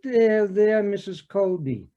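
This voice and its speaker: A man playing a pompous, deliberate police detective in a comic stage scene, speaking formally with hesitant, wordy phrasing. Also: high-pitched, expressive, dark tone, dull audio. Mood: pompous, obliging. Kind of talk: comic readers theater scene.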